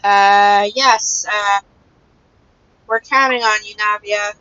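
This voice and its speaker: A woman gives casual commentary as she streams a game. Her voice is very bright and mid-pitched, and she talks slowly. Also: fairly even intonation, clear, resonant tone, muffled recording.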